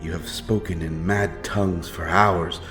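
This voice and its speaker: A man playing a stern, menacing but honourable monster hunter in a dark fantasy audio drama, speaking in solemn, archaic phrases. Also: low voice, fairly even intonation, unhurried. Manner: archaic, solemn, threatening speech. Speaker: audio roleplay performer. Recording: music behind the voice.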